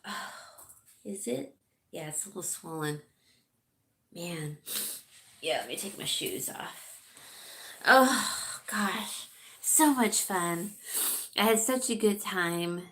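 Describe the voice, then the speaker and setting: A middle-aged woman hosts a relaxed livestream, her voice a little stuffed up from congestion. She rambles in an unhurried, drawn-out way and reads viewer comments aloud as she goes. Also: mid-range voice. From California, USA. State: congested, sniffling. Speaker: livestream host chatting with viewers. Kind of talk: casual livestream chat.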